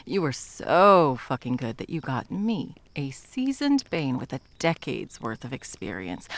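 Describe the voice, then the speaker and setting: A woman's voice: low voice, gravelly, fairly bright tone, full character voice. A woman playing a bitter, sarcastic monster hunter and betrayed lover in a dramatic ASMR roleplay, mocking and foul-mouthed. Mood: bitter, hurt.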